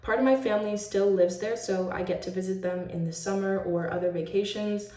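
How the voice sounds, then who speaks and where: A woman's voice: medium pitch, fairly even intonation, a little fast, dark tone. A teenage girl from Switzerland giving an upbeat, chatty show-and-tell presentation of a school project. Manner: chatty and upbeat, talks a lot. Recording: roomy echo, mic at a distance.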